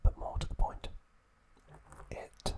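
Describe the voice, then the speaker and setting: Man whispering very slowly, ASMR. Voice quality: breathy, unvoiced whisper. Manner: slow, hushed, halting with long pauses. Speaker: host of an ASMR channel. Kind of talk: ASMR, whispered informal trivia talk.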